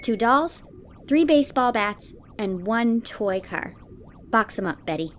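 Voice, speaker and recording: A woman's voice: a little high, expressive, a little slow, soft background music. A woman playing a plucky but glum young Earth boy in a comic audio drama. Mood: matter-of-fact, glum.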